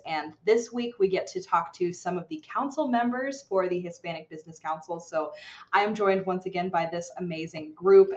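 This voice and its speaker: A woman's voice: on the low side, low-quality recording. A woman hosting an interview podcast, upbeat and enthusiastic with her guests. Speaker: podcast host. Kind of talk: casual interview.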